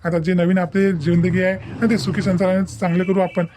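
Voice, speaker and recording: A man's voice: high voice, little pitch movement, fairly quick, mellow, slight background noise, lightly acted. A man voicing a patient, devoted young husband in a cartoon family drama, coaxing and repeating himself. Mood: soothing. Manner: coaxing, repetitive, tags phrases with "haan".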